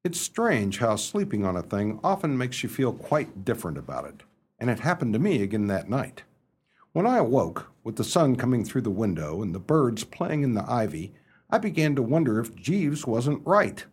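An excitable young English gentleman of leisure for a radio drama. His delivery is theatrical, with pitch leaping all over and a slightly rough, husky tone. He chats and blusters like a well-meaning fop. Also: normal speed. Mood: reflective. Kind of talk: radio play acting and first-person storytelling.

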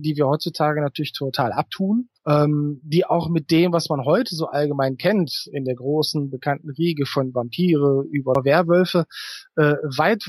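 Man with slightly high voice, thoughtfully explaining. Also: husky, dry recording. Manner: thoughtful, hesitant, explains at length in winding sentences. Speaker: interview guest, novelist. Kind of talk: conversational interview answers.

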